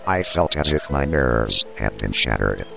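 Judas, a man playing a gravelly, very deep disciple. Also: animated, a little slow, exaggerated voice. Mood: brooding. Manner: urgent, breathless pleading. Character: agitated impassioned male disciple Judas. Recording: music score underneath.

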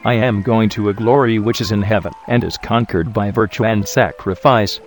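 An actor playing a calm, authoritative spiritual teacher who speaks in a measured, solemn way. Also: male voice, lowish voice, soft background music, lightly acted. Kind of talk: radio drama acting.

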